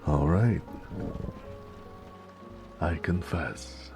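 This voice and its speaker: A man performs a flirty, cocky mafia driver in a noir romance audio drama, American and full of teasing street slang. The voice sits extremely deep with heavy gravel and fry. Music plays clearly behind the intimate talk. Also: extremely slow, full character voice. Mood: playful, coy. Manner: teasing, slangy tough-guy drawl. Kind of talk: romantic noir audio roleplay, intimate one-sided dialogue.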